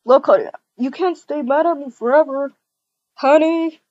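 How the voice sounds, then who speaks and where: High, wheedling cheating partner played by a woman. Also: moderate pace, a little mellow, lo-fi sound, natural voice. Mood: coaxing. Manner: wheedling, stammering, repeats pet names. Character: pleading, whiny cheating partner begging forgiveness. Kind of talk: amateur video game roleplay acting.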